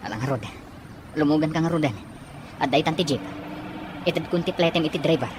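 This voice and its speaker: A man's voice: fairly high pitch, brisk, background noise, natural voice. A man acting a concerned, protective father in an Ilocano radio drama. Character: concerned, indignant father of a schoolgirl.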